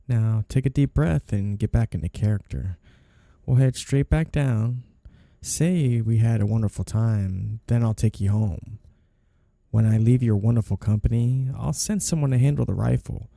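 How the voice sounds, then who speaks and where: A man playing a suave, two-faced, flirty mafia boss in an intimate audio roleplay, smooth, teasing and smugly commanding. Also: fairly low pitch, warm tone, no echo, studio quality.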